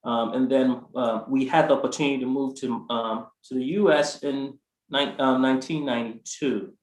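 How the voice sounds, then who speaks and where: A man in his forties from Ghana, a guest describing his life in a halting way, with many fillers and restarts. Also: medium pitch, fairly even intonation, roomy sound, poor audio. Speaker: art collector guest. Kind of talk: conversational interview answer.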